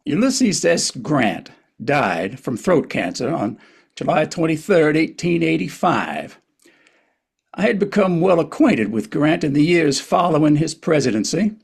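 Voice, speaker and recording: A man's voice: fairly high pitch, animated, unhurried, creaky, a little mellow, breathy edge, heavily acted. A man playing a wry, reflective older male writer and narrator in a table reading of a historical play, with a hesitant storytelling manner.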